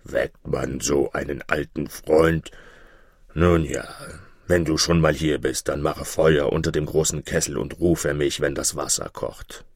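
A man voicing a huge, gruff, gluttonous giant in a storybook, with a booming, blustering, menacing and commanding manner. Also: steady tone, unhurried, no room sound, clean studio sound, full character voice. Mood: grumpy, sleepy.

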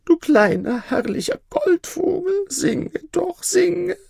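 A man voicing a dying old emperor, frightened and pleading, with high, strained, desperate cries. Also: big pitch swings, slow pace, creaky, a little mellow, no room sound, studio quality, exaggerated voice.

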